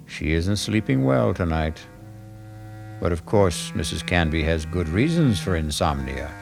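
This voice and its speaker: Male vintage radio narrator: theatrical and wry, gravelly low voice over faint music. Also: very animated, a little slow. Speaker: host and narrator. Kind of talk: scripted old radio mystery narration.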